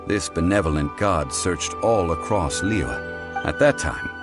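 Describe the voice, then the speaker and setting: Calm scholarly game character, really low voice, formal n measured, music clearly behind him. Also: male voice. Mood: solemn storytelling. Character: calm, dignified, scholarly male consultant. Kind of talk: video game voice acting.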